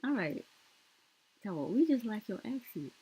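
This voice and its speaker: Husky-voiced Southern woman rambling. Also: on the high side, sing-song, unhurried, mellow, rough recording. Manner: rambling, conversational, colloquial. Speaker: commentator. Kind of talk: casual reaction commentary.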